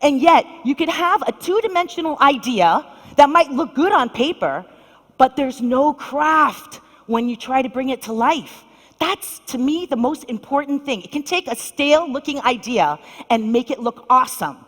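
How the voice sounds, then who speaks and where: Middle-aged female panel moderator, upbeat, talks straight to the crowd; higher voice, reverberant hall. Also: expressive, normal speed. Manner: upbeat, addresses audience directly. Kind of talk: conference panel introduction. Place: conference hall stage. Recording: long reverb of a large hall.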